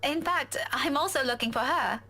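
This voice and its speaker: A woman's voice: sits high, normal speed, sharp tone. An actress playing a polite, anxious woman in a visual novel game. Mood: polite, friendly. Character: polite, anxious woman looking for her friend. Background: music.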